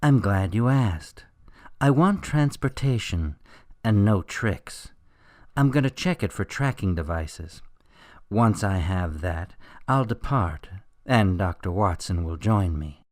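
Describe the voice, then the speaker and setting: A man playing a smug criminal mastermind professor in a radio drama, coolly threatening and taunting in a deliberate, theatrical way. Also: on the low side, animated, no room sound, studio quality. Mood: gloating, taunting.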